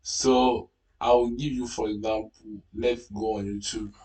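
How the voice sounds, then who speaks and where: A man's voice: fairly low pitch, little pitch movement, unhurried, a little mellow, distant mic, low-quality recording. A man whose first language is French, talking through a screen tutorial with many fillers and restarts. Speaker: tutorial host. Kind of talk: casual screen-recorded tutorial.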